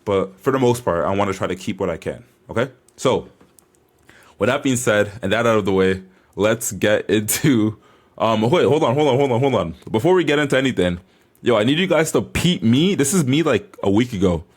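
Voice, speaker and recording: A man's voice: on the low side, animated, brisk. A young man vlogging as a bodybuilder, chatting casually to camera in a hyped, informal, slangy way.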